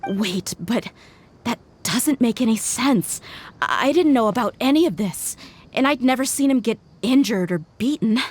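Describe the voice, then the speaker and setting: A blunt young mercenary. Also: female voice, a little high, animated, unhurried, darkish tone, slight background noise. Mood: shocked. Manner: shocked, questioning. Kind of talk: video game voice acting.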